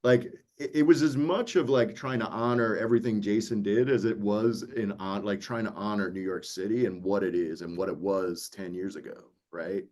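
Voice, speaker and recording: A man talking casually as a producer on a panel, animated and acting out his own remarks as he tells stories. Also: lowish voice, moderate pace, few pauses. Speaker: audiobook producer and interview guest.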